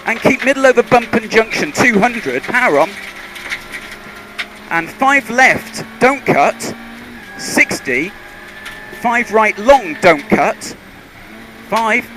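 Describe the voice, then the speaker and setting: A man's voice: high-pitched, expressive, takes it slowly, long pauses. A man working as a rally co-driver, reading pace notes in clipped, urgent bursts over a car intercom. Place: inside a rally car on a forest stage. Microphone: helmet intercom headset. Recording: car intercom with loud engine and vehicle noise.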